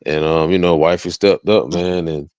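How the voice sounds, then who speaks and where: A very low-pitched American man talks casually as an interview guest. His speech is slangy, full of "you know" and "man". The recording is dull and lo-fi. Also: fairly even intonation, normal speed, darkish tone, smooth. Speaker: interview guest, music artist and entrepreneur. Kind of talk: casual chat. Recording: dull lo-fi audio, roomy echo.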